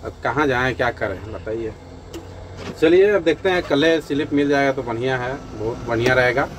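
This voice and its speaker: A man's voice: medium pitch, normal speed, slight background noise, low-quality recording. A man from India narrating a casual trucking and cooking vlog step by step, addressing viewers as friends. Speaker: truck driver vlogger.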